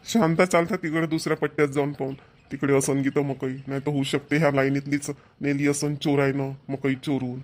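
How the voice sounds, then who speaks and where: A man's voice: mid-range voice, level tone, brisk, natural voice. A man voicing a worried, grumbling farmer husband in a village cartoon. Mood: baffled, upset. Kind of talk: Marathi village cartoon comedy dialogue.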